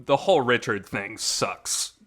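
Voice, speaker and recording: Bright-voiced man in emphatic casual talk. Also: medium pitch, a little slow. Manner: emotional, emphatic, mild swearing. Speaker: host of a visual novel review show. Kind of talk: casual unscripted review talk. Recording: reverberant amateur home recording.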